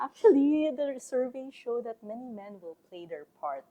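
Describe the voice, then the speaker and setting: A woman sociologist speaking as a podcast guest, thoughtful and relaxed, with frequent fillers and easy laughter. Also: a little high, normal speed, low-quality recording. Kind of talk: casual interview conversation.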